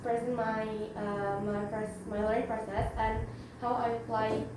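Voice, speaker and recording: A young woman with an Indonesian accent gives a class presentation in English, speaking haltingly with many fillers and self-corrections. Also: a little high, little pitch movement. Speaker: student presenter. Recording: echoey, distant mic, background noise.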